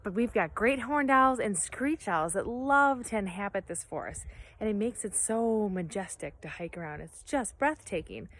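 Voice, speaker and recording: A woman reading a picture book aloud outdoors in a forest, hushed and expressive, sometimes dropping to a whisper. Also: medium pitch, moderate pace. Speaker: nature preserve storyteller.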